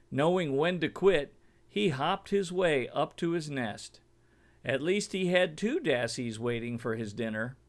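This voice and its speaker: Slow, animated man reading a children's story. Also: fairly high pitch. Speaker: storybook reader. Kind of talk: expressive children's read-aloud narration.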